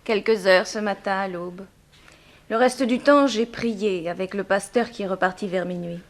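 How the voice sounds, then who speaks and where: A woman's voice: mid-range voice, normal speed, close to an everyday voice. An actress playing a grave, formal, composed young woman in mourning in a 1960s French radio drama. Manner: formal, composed, pointed questioning.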